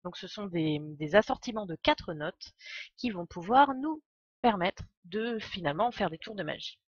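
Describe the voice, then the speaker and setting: A woman's voice: medium pitch, expressive, moderate pace, breathy edge, no room sound, dull audio. A woman streaming a game with casual, enthusiastic and digressive live commentary. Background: game music.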